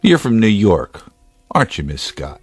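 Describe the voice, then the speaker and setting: An actor playing a steady, plain-spoken state trooper in a radio drama, measured and dry in manner. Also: male voice, on the low side, breathy edge, dry recording, faint music behind. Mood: polite, probing.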